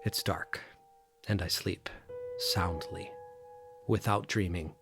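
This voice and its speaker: Troubled man, haunted and deliberate, lower register, first-person audio drama, faint score behind. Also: fairly even intonation, creaky, over music, exaggerated voice. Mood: somber, resigned. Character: troubled, haunted man fleeing dread on island holiday.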